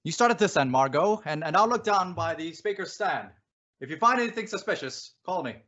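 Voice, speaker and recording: A man playing a bold, inquisitive gentleman detective in an old-style radio mystery, theatrical and self-assured. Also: sits high, lively intonation, fairly quick. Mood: curious, composed. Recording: dull lo-fi radio play recording.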